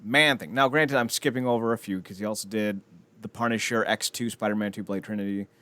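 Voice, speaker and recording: A man's voice: on the low side, brisk, a bit bright, clean tone. A man hosting a podcast in casual chat about films, rambling along with blunt, wry opinions. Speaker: podcast host.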